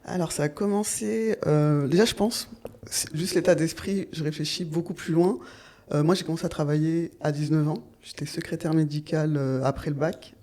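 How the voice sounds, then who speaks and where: A woman's voice: low voice, normal speed, warm tone. A woman in her thirties from Paris, a nurse talking as a podcast guest in a reflective, hesitant way with many fillers. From Paris, France. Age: 30s. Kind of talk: casual interview chat.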